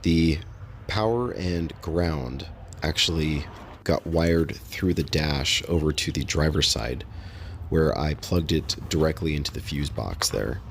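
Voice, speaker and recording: A man casually narrating a how-to vlog in a halting, unscripted, matter-of-fact way. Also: rumbling low, a little hiss or hum. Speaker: host of a DIY install vlog.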